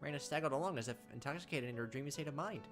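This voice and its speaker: Game host, mid-pitched voice, narrates story text with casual asides over faint music. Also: racing. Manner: reads aloud and drops in offhand asides. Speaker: let's play host reading a visual novel aloud.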